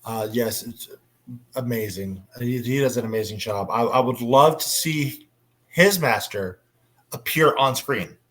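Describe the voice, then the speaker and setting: A man hosting a casual fan panel discussion, speaking enthusiastically but haltingly with frequent pauses. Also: medium pitch, a bit bright. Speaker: panel host.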